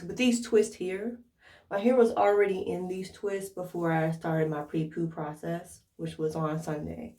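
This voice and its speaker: American woman chatting thru a diy tutorial, thinking out loud, kinda far from the mic in an echoey room. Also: on the low side. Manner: informal, thinking aloud while demonstrating. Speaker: hair care vlogger. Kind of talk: casual chatty tutorial talk to viewers. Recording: roomy echo, distant from mic.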